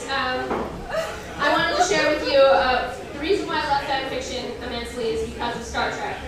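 A woman performing a comedic fan fiction reading on stage, nerdily enthusiastic, mixing mock-dramatic delivery with deadpan, self-deprecating asides. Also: high-pitched. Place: indoor live comedy reading event before an audience. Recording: roomy echo, distant mic, audience crowd noise. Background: audience laughter.

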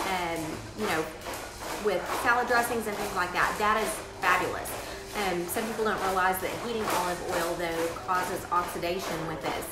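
A woman in her thirties on a living-room couch, explaining wellness products in an informal review, unhurried and hesitant with many ums. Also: lowish voice. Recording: echoey room with loud background noise.

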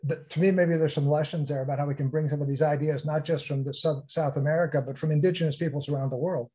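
A man moderating a panel session, speaking haltingly with frequent restarts. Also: fairly high pitch, steady tone, mellow. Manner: halting, restarts sentences often. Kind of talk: hosting an online panel session.

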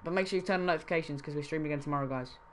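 A man's voice: on the high side, very fast, a little hiss or hum. A young man from Wales streaming a game trading session, chatting casually and reacting to his live chat.

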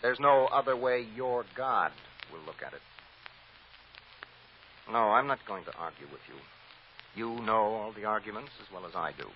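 An actor playing a stern, righteous defense lawyer, deliberate and insistent, in an old-time radio drama. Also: male voice, fairly low pitch, expressive, natural voice. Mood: stern, accusing. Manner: deliberate, insistent, repeats phrases for emphasis. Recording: dull, noisy old radio recording.

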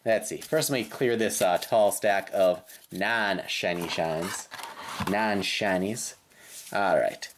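A woman with a slightly raspy voice doing casual, playful unboxing commentary with sing-song call-outs as a host. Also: a bass voice, takes it slowly, slight background noise. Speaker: host of trading card pack openings. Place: indoors at a tabletop.

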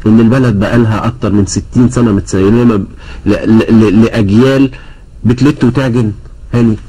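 A man in his thirties with an Egyptian accent, hosting a political talk show in a TV studio, asking measured questions. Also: fairly low pitch, steady tone, dark tone, slightly rough, low-quality recording. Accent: Egyptian Arabic. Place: TV studio, indoors. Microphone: lapel mic.

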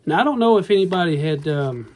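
A man's voice: a little high, lively intonation, quick pace, darkish tone. Earnest rambling man, phone vlog. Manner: rambling, earnest, folksy, addresses viewers as y'all. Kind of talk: casual talk to camera. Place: indoor bedroom. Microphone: phone camera at arm's length.

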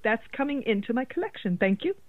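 A woman's voice: a little high, big pitch swings, fast talker, dry recording. Woman on a tinny phone line. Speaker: podcast co-host. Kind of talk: casual horror film chat.

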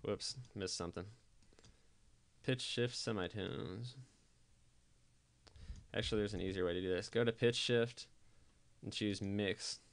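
A man giving a casual software tutorial voice-over, explaining things informally step by step. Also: lowish voice, a little slow, pauses often. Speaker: tutorial presenter.

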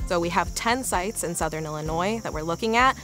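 Young woman, slightly low pitch, explanatory nature documentary interview, soft music bed underneath. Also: brisk, rough recording. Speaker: field researcher.

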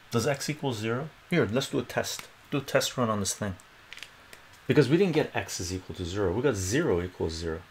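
A man's voice: lowish voice, vocal fry, slight background noise. A middle-aged man born in Iran with Armenian as his first language, chatting casually through a math lesson at a whiteboard in a small home room and often asking "right?". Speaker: math tutor.

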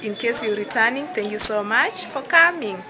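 A woman's voice: fairly high pitch, lively intonation, crowd noise. A young woman from Kenya talking to viewers in a casual vlog, filmed outdoors on a phone held at arm's length. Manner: slow, friendly, talks straight to viewers. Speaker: vlogger. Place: outdoors in a yard beside buildings. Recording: noisy outdoor phone recording. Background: loud noise from a nearby school.

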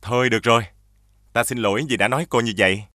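Man voicing a bright, dignified mandarin official. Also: mid-range voice, steady tone, fast, dry recording, clean studio sound, lightly acted. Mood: approving. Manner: hearty, formal. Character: genial, dignified male government official. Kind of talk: animated fairy-tale dubbing.

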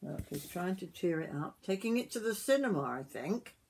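A woman in her sixties reading a picture book aloud to young children like a grandmother, expressively, with drawn-out words and little asides to the listener. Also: on the low side, expressive, gravelly, a little mellow, a little hiss or hum. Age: 60s. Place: small wooden summerhouse.

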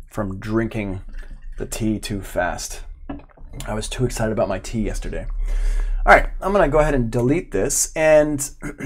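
A middle-aged man giving an informal live online lesson, chatting and thinking aloud as he demonstrates. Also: mid-range voice. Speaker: audio production instructor. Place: indoor room at home, live stream.

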